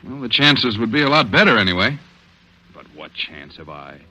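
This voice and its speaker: An actor playing an earnest, worried police detective in 1940s radio drama style, brooding and terse. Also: male voice, mid-range voice, big pitch swings, moderate pace, pushed and bright, full character voice. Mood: discouraged. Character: earnest, worried male police detective confiding in his sweetheart. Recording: dull vintage radio recording.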